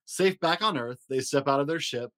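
A man hosting a story podcast, reading a rhyming children's picture book aloud in an expressive, rhythmic way. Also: on the high side, moderate pace. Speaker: podcast host and story reader.

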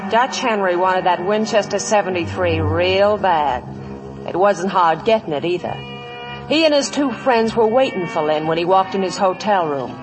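Actress as low-voiced western storyteller woman. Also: animated, moderate pace, natural voice, low-quality recording. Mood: storytelling. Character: storytelling female narrator of a western tale. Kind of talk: 1950s radio drama narration. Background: music.

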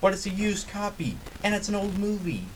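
A man's voice: high voice, animated, fairly quick. A man playing an easygoing, conciliatory sidekick in an amateur audio comedy. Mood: friendly. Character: easygoing, bored male sidekick and peacemaker. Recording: distant mic, faint background hiss.

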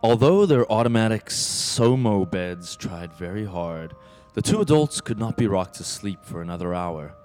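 A man's voice: fairly low pitch, expressive, moderate pace, darkish tone, soft background music, close to an everyday voice. A man acting as the brooding narrator of an ominous radio play, in dramatic fragmentary phrases. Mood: measured.

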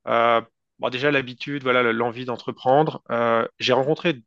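A man's voice: mid-range voice, steady tone, moderate pace, creaky, dry recording, dull audio. A man in his thirties from France with a French accent, answering interview questions in a casual, hesitant way with many fillers and restarts. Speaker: guest entrepreneur.

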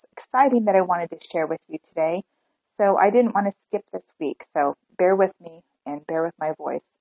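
A woman with a cold hosts her own podcast. Her voice is low and gravelly, and she talks in an earnest, chatty way with small restarts. The sound is dry and close, with no room around it. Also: a little mellow, no echo, low-quality recording. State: has a cold. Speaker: podcast host. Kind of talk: solo podcast talk.

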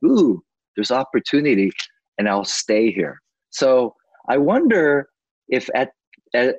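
Male, casual joking storyteller, husky lower-pitched voice with lively swings, close dry sound. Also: no room sound, highs cut off. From United States. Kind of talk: casual chat, personal storytelling.

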